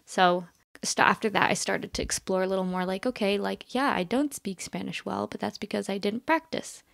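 Young woman telling animated stories. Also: medium pitch, normal speed, vocal fry, no room sound, studio quality. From United States. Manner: animated storytelling with laughs. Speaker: podcast guest. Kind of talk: casual conversation.

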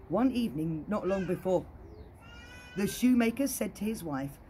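Expressive woman storyteller with a lively, swooping voice. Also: mid-range voice, a little slow, slight background noise. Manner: playful, expressive. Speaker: children's entertainer. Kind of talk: animated children's storytelling with playful asides to a puppet. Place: outdoor garden.

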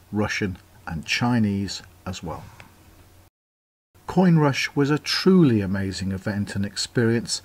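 A man's voice: fairly low pitch, husky. A man reading scripted company announcements for a webinar as a voice-over over slides, in an upbeat promotional manner.

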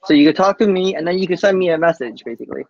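A man giving a casual live software demo on a team call, speaking haltingly and often tacking "basically" onto sentences. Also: high voice, no echo. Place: coworking space, on a group video call. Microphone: video call microphone. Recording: muffled video call audio.

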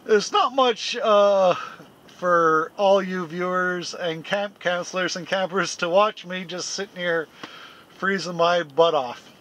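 A man in his forties with a fairly high, bright voice talks slowly and easily to his camera during a winter camp. He chuckles often and rambles with lots of fillers, speaking close to the lens, first outdoors in the snow and then inside a small tent. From Canada. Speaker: camping vlogger. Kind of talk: casual vlog chat to camera. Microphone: handheld camera close up, then fixed camera a few feet away.

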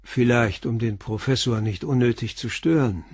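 A man's voice: medium pitch, moderate pace, darkish tone, breathy edge, no echo, studio quality. An actor playing a stern, probing police inspector in a radio drama, pressing his questions bluntly. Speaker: police commissioner.

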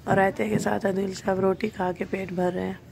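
A woman's voice: mid-range voice, flat delivery, a little fast, creaky. A woman with a Pakistani accent chatting casually as a family vlogger into a phone. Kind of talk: casual vlog chat. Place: inside a car, restaurant and shop. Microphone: phone at arm's length. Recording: loud car and street background noise.

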